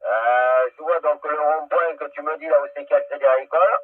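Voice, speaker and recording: A man from France chatting casually over CB radio as an operator, halting, with long hesitations. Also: fairly high pitch, dry recording, phone-quality sound. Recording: thin, band-limited CB radio transmission.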